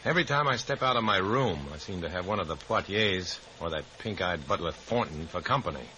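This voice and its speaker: Deep, forceful male undercover agent. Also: lively intonation, fairly quick. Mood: grimly determined. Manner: terse, hard-boiled. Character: tough, wry male undercover informant. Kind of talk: 1950s radio drama acting and narration. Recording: faintly noisy vintage radio recording.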